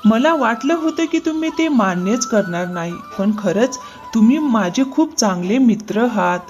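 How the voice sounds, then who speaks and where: A woman's voice: a little high, animated, normal speed, a little mellow, dull audio, soft background music, close to an everyday voice. A woman voicing a scheming male farmer in a cartoon moral story, talking in an ingratiating, excuse-making way.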